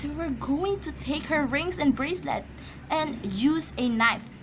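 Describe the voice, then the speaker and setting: A woman's voice: high-pitched, lively intonation, unhurried, background noise. A woman acting an anxious, imperious, easily flustered wife in an amateur radio drama, agitated and stammering.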